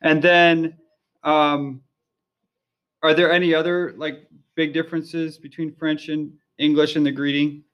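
Male host for a casual language interview, curious and friendly, voice a bit high, dull lo-fi sound. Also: little pitch movement, a little slow. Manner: friendly, curious, many fillers. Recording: dull lo-fi recording.

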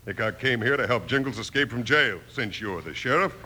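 An actor playing a scheming, ruthless villain in an old radio western, giving urgent, bossy, clipped orders. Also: male voice, medium pitch, lively intonation, fairly quick, bright, forceful tone. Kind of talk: 1950s radio western acting. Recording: hissy old radio recording with clicks.

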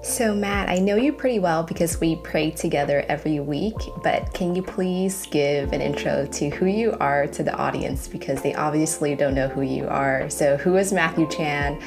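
Low-voiced woman host over music. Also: moderate pace, few pauses. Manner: inviting, stacks several questions at once. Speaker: interview host. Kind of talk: friendly interview questions.

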